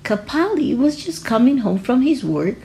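High, animated woman reading a story. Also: normal speed, gravelly, a little hiss or hum. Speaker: children's story reader. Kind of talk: expressive read-aloud narration.

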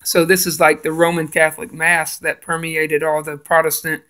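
A man's voice: fairly high pitch, completely flat delivery, normal speed, pushed and bright. A man reading passages from a book aloud and adding his own comments, stumbling and restarting on hard names. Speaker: religious video maker. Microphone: phone camera filming a book page.

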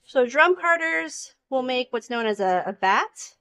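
A woman in her thirties with a fairly high voice talks through a hands-on craft demonstration. She explains each step in plain, informal language, picked up by a camera a short way off indoors. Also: expressive, a little slow, pushed and bright. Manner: explanatory, uses simple everyday comparisons. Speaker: fiber spinning demonstrator. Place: indoor farm shop with concrete floor. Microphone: handheld camera a short distance away.

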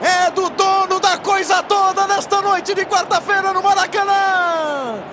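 Brazilian male play-by-play caller, very high shouted voice, stadium crowd roaring behind, noisy broadcast. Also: unhurried. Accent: Brazilian Portuguese. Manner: shouting excited goal calls. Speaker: play-by-play commentator. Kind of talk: football goal commentary.

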